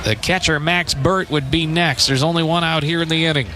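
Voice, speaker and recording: A man calling live baseball play-by-play on the radio in measured, clipped phrases with pauses between them. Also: a little high, fairly even intonation, background noise. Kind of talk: live radio sports commentary.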